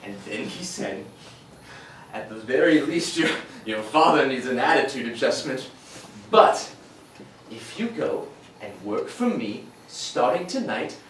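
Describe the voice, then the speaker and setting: A man's voice: mid-range voice, sing-song, a little slow, a bit bright. A man playing a naive, defensive young man who rambles, hesitates and swears a lot in a dramatic scene. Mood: animated storytelling. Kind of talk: dramatic acting. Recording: very echoey room, distant mic, noisy background.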